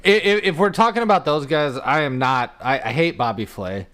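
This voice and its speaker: A man's voice: fairly high pitch, expressive, moderate pace, a bit bright. An American man talking casually and bluntly as a podcast co-host. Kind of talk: casual group chat.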